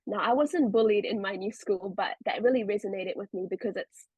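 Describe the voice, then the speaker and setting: A young woman with a South African accent talks easily as a podcast guest, loose and chatty, with plenty of fillers. Her voice is a little husky and sits at a middle pitch. The sound is dry and close. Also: a little fast, no room sound, highs cut off. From India. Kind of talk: casual interview chat.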